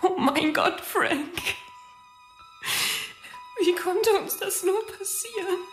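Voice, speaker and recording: An actress in an audio drama playing a devoted, grieving wife, speaking in a hushed, tender, breathy way. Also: female voice, very high voice, slow pace, soft background music. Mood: anguished. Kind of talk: German audio drama acting.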